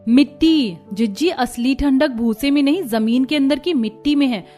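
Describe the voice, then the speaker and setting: Woman as high-pitched hopeful young daughter-in-law. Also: moderate pace, warm tone, faint music behind. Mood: hopeful, determined. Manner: earnest, reasoning.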